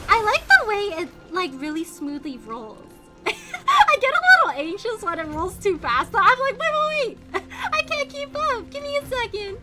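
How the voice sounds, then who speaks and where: A woman livestreaming a video game in casual chat, expressive and exclamatory as she thinks aloud. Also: very high voice. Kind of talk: casual gaming stream chat.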